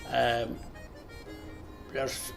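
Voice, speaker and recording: A middle-aged man giving a reflective interview, pausing and searching for his words. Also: mid-range voice, completely flat delivery, gravelly, over music. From Ireland. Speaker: local interviewee, Irish speaker.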